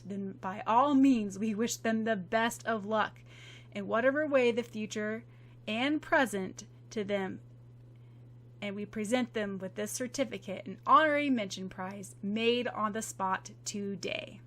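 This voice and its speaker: A woman voicing a contest announcer who speaks in a loud, formal, announcing way. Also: mid-range voice, unhurried, lightly acted.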